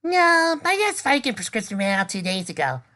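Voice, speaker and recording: A woman voicing a brash, unstable teenage girl who talks loudly and bluntly. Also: medium pitch, sing-song, moderate pace, pitched above the performer's own voice. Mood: excited. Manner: loud, sharp, exclaiming.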